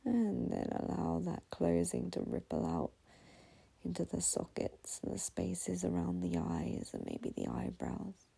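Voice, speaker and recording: A woman leading a guided meditation in a slow, soothing, gentle half-whisper, breathy and husky, with long pauses between phrases. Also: fairly low pitch, huge pitch swings.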